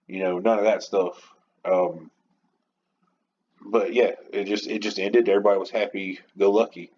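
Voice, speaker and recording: A man's voice: sits low, steady tone, moderate pace, a little mellow, slightly rough. A man hosting a podcast, giving a casual, rambling, opinionated monologue in an informal way. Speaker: podcast host. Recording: muffled lo-fi home recording, background noise.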